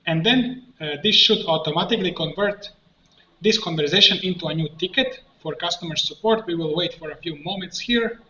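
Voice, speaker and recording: A man giving an unscripted screen-share software tutorial, explaining step by step in a halting way. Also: high-pitched. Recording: muffled roomy mic with faint noise.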